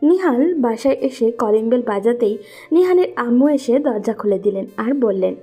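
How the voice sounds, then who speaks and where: A woman's voice: fairly high pitch, lively intonation, moderate pace, dark tone, husky, soft background music. A woman narrating a scripted romantic story as a storyteller.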